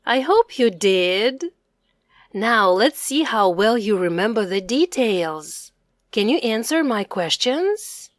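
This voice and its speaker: A woman narrating a children's storybook expressively, addressing young listeners in a deliberate manner. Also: fairly high pitch, dry recording, studio quality. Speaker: storybook reader.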